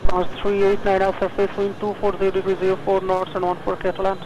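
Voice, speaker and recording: A man's voice: sits high, completely flat delivery, fast, lo-fi sound. A man working as an air traffic controller, giving clipped, formulaic instructions over aviation radio. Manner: procedural, formulaic delivery.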